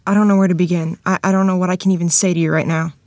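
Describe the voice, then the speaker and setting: A woman's voice: on the low side, flat delivery, very fast, a little mellow, dry recording, clean studio sound, natural voice. A woman playing a strong, emphatic Midwestern wife in her thirties in a table-read drama. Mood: shocked, indignant. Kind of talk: table-read screenplay acting.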